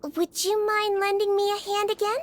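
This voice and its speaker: A woman voicing a small, gentle, caring girlish nurse in a video game, in a soft, high and sweet voice. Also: flat delivery, normal speed, noisy background. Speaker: head nurse. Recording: game dialogue with clear background music.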